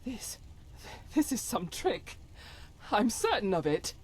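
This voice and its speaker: A woman playing a devout warrior in a game mod, earnest and solemn, with halting formal phrasing and breathy whispers. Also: mid-range voice, very animated, a little mellow, exaggerated voice. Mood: wary, disbelieving. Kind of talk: dramatic video game mod voice acting.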